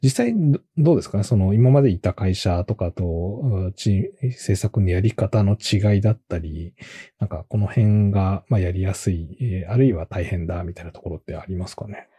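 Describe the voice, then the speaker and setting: A man hosts an interview podcast in a very dark, mellow voice with a slightly husky edge. He speaks politely and conversationally, like someone talking shop with a guest. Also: low-pitched, brisk, dry recording. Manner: polite, frequent listening backchannels. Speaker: podcast host and company co-founder. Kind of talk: relaxed business interview chat.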